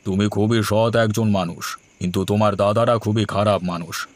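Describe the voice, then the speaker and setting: A man voicing a solemn, humble holy hermit in a cartoon, measured, formal and admonishing. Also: on the low side, steady tone, lightly acted. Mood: approving, calm. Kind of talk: Bengali moral-tale cartoon voice acting.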